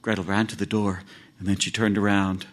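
A man telling a fairy tale theatrically, with dramatic, deliberate phrasing and pauses. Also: lowish voice, level tone. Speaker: storyteller.

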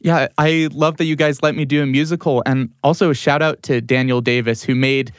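A man's voice: mid-range voice, steady tone, brisk, smooth, no echo, studio quality. A man, a guest writer, chatting enthusiastically and gratefully about his work. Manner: enthusiastic, appreciative. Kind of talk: casual chat.

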